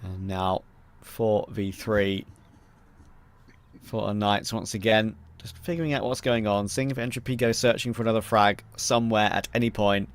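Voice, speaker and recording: A man's voice: fairly low pitch, normal speed, clean tone. A man in his late twenties doing play-by-play commentary on an esports match, trading easy banter with a co-caster. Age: 20s.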